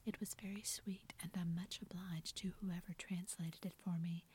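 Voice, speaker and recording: A shy, gentle young woman speaks in a soft, breathy whisper, as a woman reads her part. Her voice sits low and stays even, timid and modest. This is a hushed storybook reading. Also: moderate pace. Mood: bashful.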